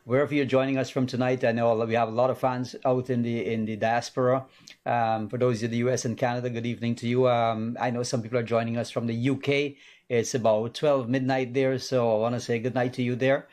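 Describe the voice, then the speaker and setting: A man's voice: mid-range voice, flat delivery, a little fast, a little mellow. A man hosting a live call-in medical show in a studio, talking casually with many fillers and restarts. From Guyana.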